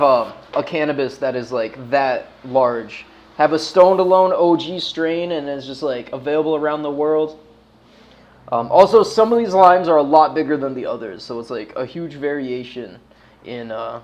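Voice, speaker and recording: A man's voice: on the high side, a little mellow, muffled recording. An American man chatting casually as he hosts a livestream, slangy and swearing freely, with a laid-back stoner way of talking. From United States. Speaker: livestream host.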